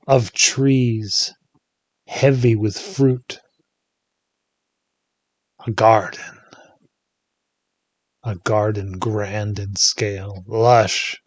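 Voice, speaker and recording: A man leading a guided meditation in a soothing, hushed manner, leaving long pauses between short phrases. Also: lowish voice, dry recording.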